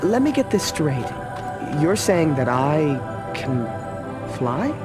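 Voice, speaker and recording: A man's voice: a little high, sing-song, slow pace. A man playing an earnest, plucky young dragon hero in a video game, boyish, with dry retorts. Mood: puzzled. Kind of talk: video game cutscene acting. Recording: music behind the voice.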